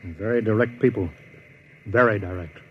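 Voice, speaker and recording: A man playing a grave, decisive starship captain with an authoritative, deliberate delivery in an old radio drama. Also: fairly low pitch, lightly acted. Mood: grim, insistent. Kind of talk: mid-century radio drama acting. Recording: dull old radio recording, faint noise.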